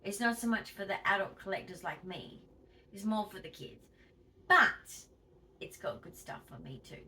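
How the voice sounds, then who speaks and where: A woman's voice: mid-range voice, lively intonation, normal speed, bright, forceful tone, distant mic, low-quality recording. An Australian woman chatting casually to her viewers in a home vlog, emphatic and chatty, and a bit wheezy. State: wheezy, tight-chested. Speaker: vlogger.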